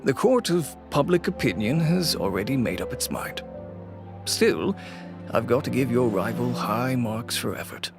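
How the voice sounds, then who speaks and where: An actor playing a weary middle-aged fashion shop owner and cautious father, speaking in a measured, reluctant way in a video game. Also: medium pitch, animated, over music. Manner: measured, reluctant, lightly sighing.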